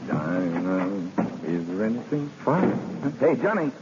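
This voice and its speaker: Slangy, swinging-pitched jilted rancher. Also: male voice, big pitch swings, slow. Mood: brisk, eager. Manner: slangy, hard-boiled. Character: proud, jilted, wisecracking rugged rancher. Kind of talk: 1930s-40s radio drama acting. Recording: muffled, hissy old radio broadcast.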